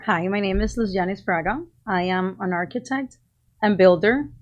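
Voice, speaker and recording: Woman in her late 30s, podcast guest, earnest, measured, mid-range voice. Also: mid-range voice, dull audio. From Cuba, raised in Costa Rica. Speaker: podcast guest, architect and builder. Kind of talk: casual interview talk.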